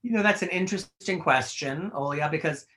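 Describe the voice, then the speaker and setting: A man's voice: fairly high pitch, brisk, a bit bright. A middle-aged man who works as a fashion editorial director, answering interview questions in a chatty, rambling way full of "you know" and "like".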